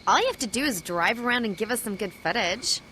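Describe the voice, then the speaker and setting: An actress playing an eager, slightly flustered casting assistant in a video game cutscene. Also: female voice, fairly high pitch, lively intonation, fast talker, pushed and bright, slight background noise, poor audio. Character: perky, apologetic female casting assistant, eager to please.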